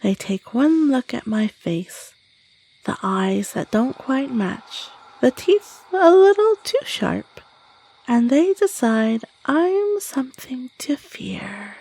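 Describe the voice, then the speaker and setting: Lady doing a sly eerie woods monster, slow breathy whispering, musing, menacing, audio drama. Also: sits high, big pitch swings, mellow, heavily acted, low-quality recording. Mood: eerie, intrigued. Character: eerie, sly, sharp-toothed creature of the woods. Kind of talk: scripted audio roleplay monologue.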